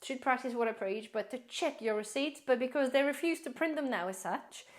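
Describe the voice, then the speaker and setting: A woman prepper vlogger chatting casually through a grocery haul, rambling, addressing viewers as guys, her voice slightly hoarse. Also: sits high, brisk. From based in the UK.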